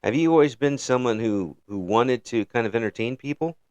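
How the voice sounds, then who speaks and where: A man hosting a relaxed interview chat in an easygoing way. He laughs lightly between thoughts and circles back over his phrases, and his voice sits at a middling pitch in a dry, close recording. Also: brisk, no echo. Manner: easygoing, chuckles often, restarts phrases. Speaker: interview host.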